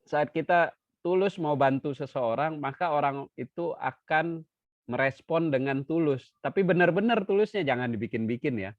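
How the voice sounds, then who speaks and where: A man giving an online seminar talk as a trainer, lively and joking, sometimes acting out quoted dialogue. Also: a little high, moderate pace, no echo. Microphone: video call. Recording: dry, dull lo-fi video call audio.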